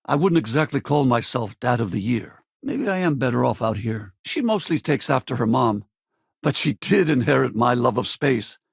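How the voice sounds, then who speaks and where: A man playing a weathered, loyal spacefaring pilot in a video game, gravelly and husky, with a casual, wry way of talking. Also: mid-range voice, lively intonation, normal speed, no echo, studio quality. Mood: regretful. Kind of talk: video game companion dialogue.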